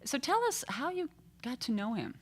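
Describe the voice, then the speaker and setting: A woman interviewing a musician in a music studio, prompting him with questions and steady uh-huhs. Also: high-pitched, moderate pace, a little hiss or hum. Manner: friendly, prompting, frequent uh-huhs. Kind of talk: casual interview chat.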